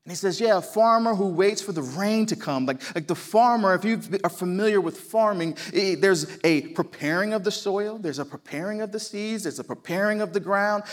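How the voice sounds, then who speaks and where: South Jersey man preaching conversationally. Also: high-pitched. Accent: South Jersey American. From New Jersey, United States. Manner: conversational, folksy storytelling. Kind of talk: sermon. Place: church sanctuary.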